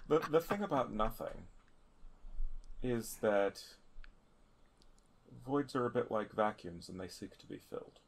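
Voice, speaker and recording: Male game player, deep creaky voice, measured in-character delivery. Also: lively intonation, rough recording. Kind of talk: in-character roleplay.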